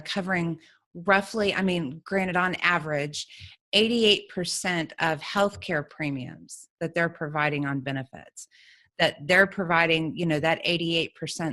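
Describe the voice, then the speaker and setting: Low-voiced woman hosting. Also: unhurried, fairly bright tone. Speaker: show host. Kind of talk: conversational interview.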